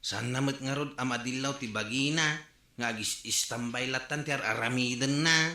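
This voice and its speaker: A man's voice: mid-range voice, moderate pace, pushed and bright, roomy sound. An actor playing a grumbling, disapproving village man with an Ilocano accent in a radio comedy drama.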